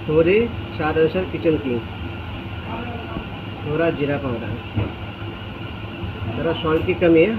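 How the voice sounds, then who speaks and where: Higher-voiced man over loud kitchen noise. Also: narrow, tinny audio. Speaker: cook presenting a recipe. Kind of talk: casual cooking demonstration narration. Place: restaurant kitchen, indoors. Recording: noisy kitchen, loud background roar.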